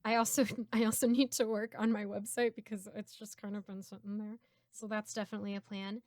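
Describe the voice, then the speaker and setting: A woman's voice: medium pitch, flat delivery, brisk, a little mellow, no room sound, clean studio sound. A giggly, chatty woman with an American accent, casually answering fan questions as host. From United States. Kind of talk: casual Q&A chat.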